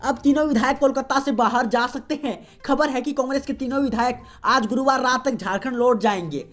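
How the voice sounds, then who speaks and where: A woman reading the day's regional news as a host, in a steady, formal newsreader delivery. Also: high-pitched, fairly quick. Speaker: news reader. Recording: faint music behind voice.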